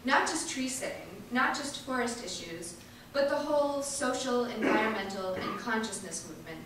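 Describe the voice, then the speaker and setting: High-voiced woman performing in an echoey hall. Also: animated, normal speed, fairly bright tone. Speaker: reader performing an activist's first-person testimony. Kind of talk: dramatic reading of a first-person monologue. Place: large indoor event space, distant microphone. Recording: echoey hall from far off, faint noise.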